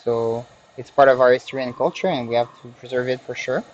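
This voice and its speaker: A man's voice: mid-range voice, fairly even intonation, quick pace, dull audio. A man with a Quebec French accent, a native French speaker, chatting through a live hands-on repair tutorial as the host and technician, often searching for his words. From Canada, French-speaking province.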